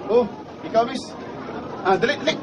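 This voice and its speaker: An actor playing a rowdy, teasing teenage classmate in a radio drama, with a boisterous manner and a Cebuano accent. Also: male voice, very high voice, a little fast, over a noisy crowd, very noisy. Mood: urging. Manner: boisterous, laughing. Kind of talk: Bisaya radio drama acting.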